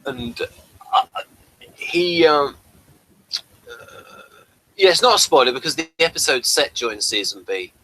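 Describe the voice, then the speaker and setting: Male review host, bright forward voice, halting and rambling, informal chat. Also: on the high side, huge pitch swings, vocal fry, no echo, rough recording.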